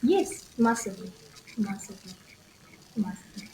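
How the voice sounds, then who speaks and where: A woman's voice: mid-range voice, lively intonation, normal speed, warm tone. A young woman with a Zimbabwean accent, talking casually and conversationally as an interview guest. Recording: echoey room with faint noise.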